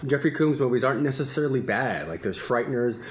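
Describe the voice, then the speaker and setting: A man's voice: medium pitch, animated, fast talker. A man hosting a casual podcast among friends, rambling and laughing often. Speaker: podcast host. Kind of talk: casual chat. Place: room with friends. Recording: echoey room, faint ambient noise.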